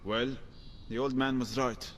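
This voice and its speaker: A man's voice: medium pitch, fairly even intonation, a little slow, a bit bright, slight background noise, lightly acted. An actor voicing a wary, dryly wry male travelling companion in an audio adventure game. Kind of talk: video game voice acting. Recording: reverberant hall sound with music behind.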